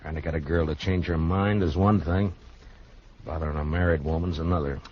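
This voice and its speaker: An American actor playing a calm, firm frontier marshal in a 1950s western radio drama, speaking with measured authority. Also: male voice, extremely low pitch, breathy edge. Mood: relaxed. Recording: muffled old radio recording, background hiss.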